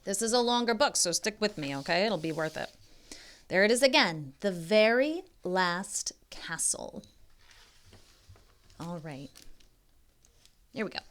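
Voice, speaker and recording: A woman tutor reads a children's picture book aloud at an unhurried pace. Her pitch rises and falls in lively swings, and she often breaks off to check in with the young listener in an expressive, guiding way. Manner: expressive, pausing to ask and prompt the listener.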